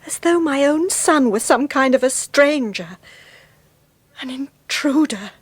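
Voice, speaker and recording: An actress playing an anxious, troubled young mother in a British radio drama, speaking with an English accent in a tense, halting, confiding way. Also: high-pitched, animated. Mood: whispered self-doubt. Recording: faint noise on radio broadcast.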